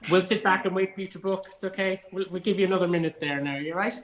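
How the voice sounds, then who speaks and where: A man's voice: high voice, fast talker. An Irish man from Cork chatting casually and enthusiastically as an interview guest. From Cork, Ireland. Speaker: actor and theatre company artistic director being interviewed. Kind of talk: casual chat.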